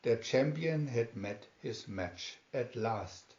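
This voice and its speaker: A man reading a children's adventure story aloud as scripted narration, with dramatic, deliberate, emphatic phrasing. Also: mid-range voice, lively intonation, darkish tone, a little hiss or hum. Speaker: reader of children's picture booklets. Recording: dull, echoey home recording.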